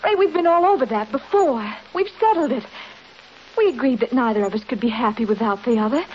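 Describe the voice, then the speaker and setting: An actress playing a spirited young heiress with a brisk, sardonic manner that turns pleading, in a 1930s radio play. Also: female voice, a little high, expressive, darkish tone, lightly acted. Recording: muffled old radio recording with clear hiss.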